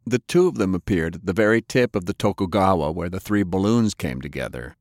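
A man's voice: sits low, lively intonation, a little fast, a little mellow, dry recording, clean studio sound. A man narrating action fiction as an audiobook, in a dramatic scripted style.

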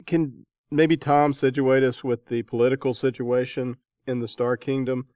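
A dry recording with no room sound presents a man of medium pitch. He is an interviewer, casual and conversational in the way he puts things to his guests. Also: flat delivery, moderate pace, a little mellow, no echo.

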